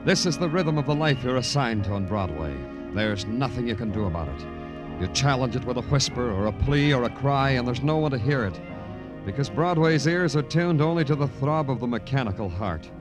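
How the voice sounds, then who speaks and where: An actor playing a weary, wry New York police detective in old radio noir, with deadpan, brooding delivery. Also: male voice, medium pitch, fairly quick, music in the background, natural voice. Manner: terse deadpan dialogue, brooding poetic narration. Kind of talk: 1950s radio noir acting and narration.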